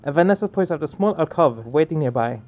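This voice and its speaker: Higher-pitched man doing game commentary. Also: animated, quick pace, lo-fi sound. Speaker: let's play streamer. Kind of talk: casual gaming commentary, reading game text aloud. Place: small room indoors. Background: game music.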